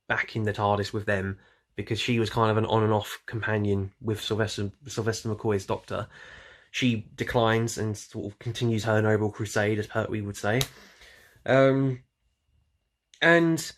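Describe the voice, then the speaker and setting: A man talking casually and off the cuff as an online reviewer, rambling loosely and often trailing off or correcting himself. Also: medium pitch. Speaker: YouTube reviewer. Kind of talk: casual unscripted audio drama review.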